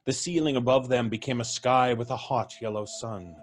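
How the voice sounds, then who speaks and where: A man narrating a classic tale in a measured, vivid way for a live audience show. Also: mid-range voice, fairly even intonation. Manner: measured, vivid, lingering on sensory details. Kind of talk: dramatic live story narration. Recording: live stage recording with faint music.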